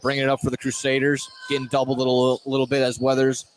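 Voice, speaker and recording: Clear background noise from a gym sits behind a male sports announcer. He has a mid-range voice and gives live radio play-by-play of a high school basketball game, tracking the action. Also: monotone, fast. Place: indoor basketball gym, courtside. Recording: noisy live gym ambience. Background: loud game ambience.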